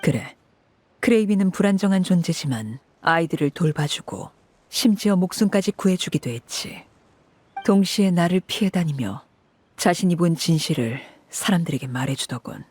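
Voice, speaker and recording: A woman voicing a stern, cold woman who runs an orphan house as its "Father", speaking in a cool, measured, commanding way. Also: fairly low pitch, animated, darkish tone, husky. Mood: explaining. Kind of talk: game voice acting.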